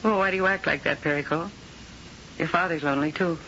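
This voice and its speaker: A woman's voice: low voice, fast, bright, forceful tone, a little hiss or hum. An actress playing a glamorous, flirtatious woman singer in a 1970s radio drama, with a theatrical manner. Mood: teasing. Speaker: leading lady. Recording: muffled old radio broadcast with music.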